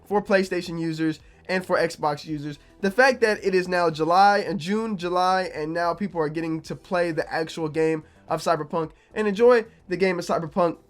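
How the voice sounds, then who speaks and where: A young man, a gaming YouTuber, talking casually to his viewers with a slightly raspy, low-energy voice from being sick. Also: normal speed, hardly any pauses, soft background music. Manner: conversational, repeats himself, low energy. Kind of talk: casual opinion vlog to camera.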